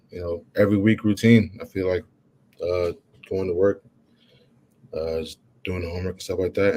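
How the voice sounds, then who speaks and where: A young man, a college football player, answering interview questions in a halting way, with many "you know" fillers and restarts. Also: deep voice, warm tone, slight background noise. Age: young adult.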